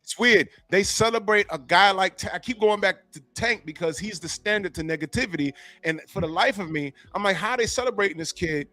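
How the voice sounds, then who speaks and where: A man hosting a podcast in a casual, animated and profane way, often acting out quotes as he tells a story. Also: high voice, a little fast, hardly any pauses, no echo. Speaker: podcast host.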